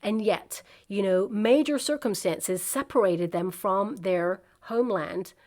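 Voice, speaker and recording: A woman talks her viewers through a tarot spread. She sounds chatty and reassuring, often pausing to check in with them. Her voice sits at an ordinary middle pitch for a woman. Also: a little mellow. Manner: chatty and reassuring, frequent "okay?" asides. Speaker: tarot reader. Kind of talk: casual guided tarot reading.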